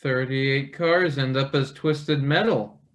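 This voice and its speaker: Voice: mid-range voice, slow pace, close to an everyday voice. An actor playing an eager, skeptical young intern in a read-through of a satirical stage play. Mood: questioning. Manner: questioning, wry.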